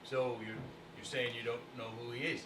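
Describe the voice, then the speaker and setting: An actor playing a troubled, suspicious husband in a stage musical, speaking in a subdued, terse way. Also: male voice, mid-range voice, steady tone, moderate pace, background noise, natural voice. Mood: brooding, suspicious. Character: troubled, suspicious husband brooding over his wife's betrayal. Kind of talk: stage musical acting and singing. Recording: roomy, distant theatre stage recording.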